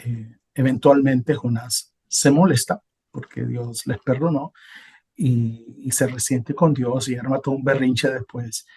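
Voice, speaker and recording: Honduran male co-host, husky voice, relaxed, loose conversational delivery. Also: mid-range voice, measured pace. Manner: relaxed, thinking aloud with many hesitations. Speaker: podcast co-host. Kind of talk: casual conversational talk about Bible passages.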